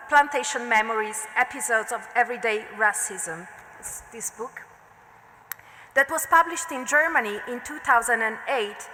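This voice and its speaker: A woman with an Italian accent, a non-native speaker who is an art history researcher, reads a prepared academic lecture at a conference in a measured, deliberate way. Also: on the high side, pushed and bright. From Italy. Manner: measured, deliberate delivery with occasional slips and fillers. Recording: long reverb of a lecture hall.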